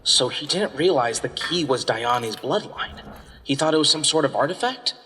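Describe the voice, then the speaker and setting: Bright, inquisitive young student in echoing hall, man acting. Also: fairly high pitch, lively intonation, moderate pace. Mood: puzzled, intrigued. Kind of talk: fan audio drama acting. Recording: reverberant hall with faint noise.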